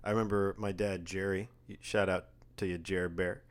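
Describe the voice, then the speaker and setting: Young guy with a real low voice, joking around on a podcast, gets loud and fired up. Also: normal speed. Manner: animated, jokey, rising in pitch and volume when riled. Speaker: podcast co-host. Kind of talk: casual chat.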